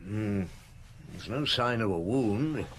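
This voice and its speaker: Radio drama detective: middle-aged chief inspector, grave and watchful, unhurried low delivery, some hiss behind. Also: male voice, lively intonation. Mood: calm, reserved. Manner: slow, musing, understated.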